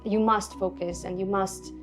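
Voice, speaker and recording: A young adult woman from Hungary, speaking English as an in a relaxed interview, soft-spoken and reflective, pausing and restarting often. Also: mid-range voice, music in the background. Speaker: artist guest. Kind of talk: casual interview. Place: Budapest.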